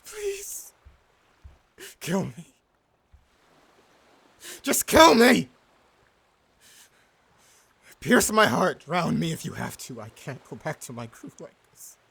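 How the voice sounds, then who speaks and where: An actor voicing a defeated blind pirate overlord in an audio drama, stammering and pleading in anguish. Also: male voice, on the high side, huge pitch swings, slow pace, vocal fry, pauses often, fairly bright tone, slightly rough, exaggerated voice. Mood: broken, pleading. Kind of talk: dramatic audio roleplay acting.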